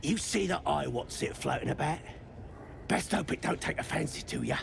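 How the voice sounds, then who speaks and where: A man voicing a crude, jeering goblin guard in a video game, with a British accent and a rough, mocking manner. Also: fairly high pitch, lively intonation, moderate pace, background noise. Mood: sardonic. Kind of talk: video game character acting.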